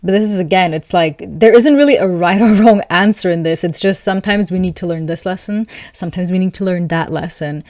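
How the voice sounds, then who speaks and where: A woman hosting an astrology video, talking calmly and thoughtfully to camera, with soft laughs between thoughts. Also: on the low side, fairly quick, few pauses, no echo, studio quality. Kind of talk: casual spoken reflection to camera.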